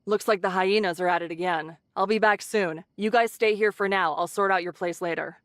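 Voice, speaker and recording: A woman voicing a caring, brisk shelter leader who scolds in a motherly, teasing way, in an English anime game. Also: mid-range voice, steady tone, fairly bright tone, no echo, studio quality, lightly acted. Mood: businesslike.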